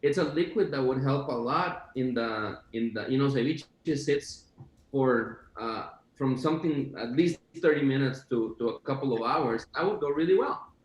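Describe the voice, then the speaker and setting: A man's voice: medium pitch, normal speed, roomy sound, highs cut off. A man from Costa Rica talking casually and with enthusiasm, describing food with many fillers. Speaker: chef guest. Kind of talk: casual video-call chat.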